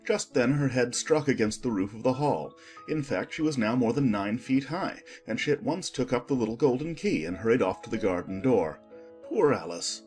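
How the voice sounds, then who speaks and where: A man reading scripted storybook narration in an expressive storytelling manner. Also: mid-range voice, normal speed, soft background music.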